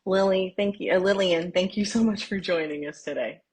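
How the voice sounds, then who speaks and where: A woman's voice: medium pitch, a little fast, a little mellow, husky. A woman hosting a podcast, chatty and encouraging as she draws out her guest. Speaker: podcast host. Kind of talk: casual podcast interview.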